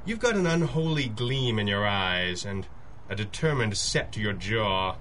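A man's voice: on the low side, lively intonation, a little slow, a bit bright, a little hiss or hum. An actor playing a composed male masked superhero in a radio drama, speaking in a dry, teasing, articulate way. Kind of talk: old-time radio drama acting.

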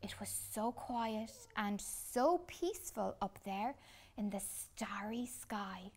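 A woman reads a children's picture book expressively, with deliberate dramatic pauses. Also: medium pitch, darkish tone. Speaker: children's storytime reader. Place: small furnished room indoors.

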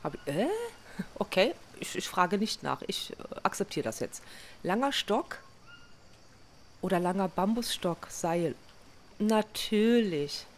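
A woman's voice: medium pitch, animated, slow, pauses often, slight background noise. A woman chatting casually to herself while she plays and crafts in a survival game, often poking fun at herself. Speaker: gaming video host. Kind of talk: casual let's play commentary.

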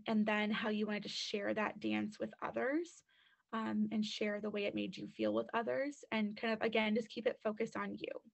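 Gravelly-voiced woman counselor on a webinar. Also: medium pitch, highs cut off. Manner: chatty, many fillers and "like". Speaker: college admissions counselor. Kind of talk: webinar answering questions.

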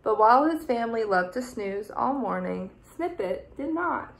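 A young adult woman, a teacher, reading a picture book aloud in a deliberate, expressive way for small children. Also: on the high side, vocal fry, a little hiss or hum, low-quality recording. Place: small room indoors.

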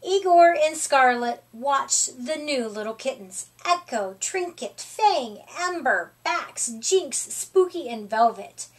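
A middle-aged woman storyteller reads to young children. Her voice is high and swoops expressively, and she takes her time over every phrase. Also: expressive, takes it slowly, hardly any pauses. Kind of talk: reading a children's picture book aloud. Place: small room, indoors.

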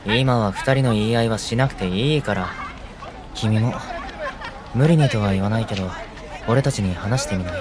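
An actor playing a kind twenty-year-old delinquent in an audio drama, a gentle, reassuring peacemaker. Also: male voice, fairly low pitch, normal speed, a crowd in the background, natural voice. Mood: mildly chiding. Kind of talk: Japanese audio drama acting.

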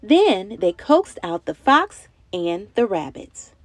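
A young adult woman narrating a picture book for small children in an expressive, dramatic way with emphatic, drawn-out words. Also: medium pitch, no room sound. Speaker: children's read-aloud storyteller. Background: music bed.

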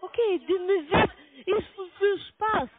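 A woman with a foreign accent in German on a sales call, halting and insistent with her questions. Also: very high voice. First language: not German. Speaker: call center sales agent. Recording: narrow-band phone line.

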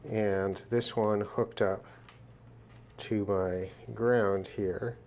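A man's voice: lowish voice, steady tone, drawn-out words, darkish tone, a little hiss or hum. A man presenting an unscripted hands-on demonstration in a casual, matter-of-fact way, thinking aloud as he goes. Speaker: presenter of a radio gear test video. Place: indoors at a work desk.

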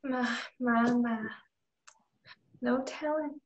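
A woman's voice: a little high, fairly even intonation, very slow, lo-fi sound, a little hiss or hum. A woman playing a haughty, condescending widow who runs a frontier business, in a radio drama. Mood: feigned sympathy. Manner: condescending, imperious. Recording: roomy, echoing home recording.

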